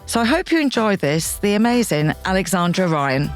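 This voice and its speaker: A woman's voice: medium pitch, sing-song, moderate pace, music in the background. A woman with an Irish accent hosting an interview podcast, friendly and encouraging, with plenty of fillers. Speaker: podcast host. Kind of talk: casual interview chat.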